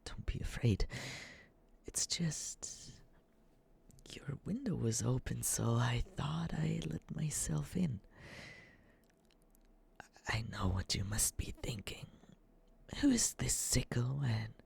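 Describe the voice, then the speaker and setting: Man voicing a young yandere stalker boy, nervous and lovestruck, gravelly whispery murmur, wide swings in pitch, halting, reassuring, ASMR roleplay. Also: lively intonation, darkish tone, heavily acted. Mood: nervous, hushed. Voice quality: breathy, whispery. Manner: halting, stammering, whispery, earnest. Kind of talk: ASMR roleplay, soft scripted monologue to the listener.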